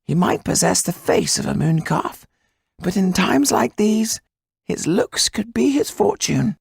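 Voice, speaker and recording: A man putting on a tetchy raspy high-voiced schemer. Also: big pitch swings, a little slow, dry recording, clean studio sound, heavily acted. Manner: curt, irritable.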